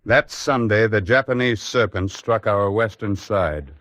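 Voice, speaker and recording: A brooding male narrator of a 1940s wartime radio drama. His voice is low, and he speaks slowly and deliberately, leaving ominous pauses for effect. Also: fairly bright tone, no room sound. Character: grave, brooding male storyteller of a wartime chronicle.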